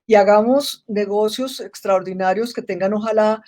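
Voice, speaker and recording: A woman's voice: mid-range voice, normal speed, a little mellow. A Colombian woman speaking as a webinar guest in a casual, reflective way, often restarting phrases and ending them with tag questions. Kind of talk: casual interview conversation.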